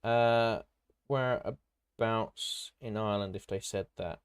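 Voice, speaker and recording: A man's voice: lowish voice, fairly even intonation, takes it slowly, smooth. Man chatting casually on a livestream. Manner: rambling, hopping between comments, informal and matey. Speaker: livestream host and content creator. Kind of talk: casual live stream chat, answering viewer comments.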